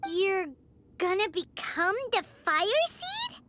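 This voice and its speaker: A woman's voice: very high voice, sing-song, slow pace, background noise, heavily acted. A woman voicing a tiny, anxious female companion sprite who talks about herself in the third person. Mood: excitable.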